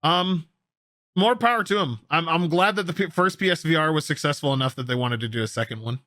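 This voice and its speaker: Bright-voiced man hosting gaming news. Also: a little high, fairly quick, dry recording. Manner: enthusiastic, opinionated, conversational. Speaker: host of a gaming news show. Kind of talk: casual news commentary with co-host.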